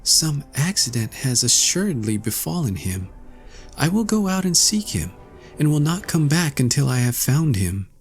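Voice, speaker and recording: A gentle young fairy-tale maiden, voiced by a man, speaks in a raised, tender pitch. The delivery is plaintive and faithful, with old-fashioned wording. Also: sing-song, normal speed, soft background music. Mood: anxious. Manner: tender, plaintive, archaic phrasing.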